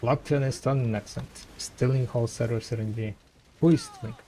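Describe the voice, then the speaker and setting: A man whose first language is Russian, with a light Russian accent, chatting with viewers as a game streamer and trailing off in halting restarts. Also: mid-range voice, fairly even intonation, warm tone, slight background noise. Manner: rambling, halting, many restarts. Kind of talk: casual chat with viewers.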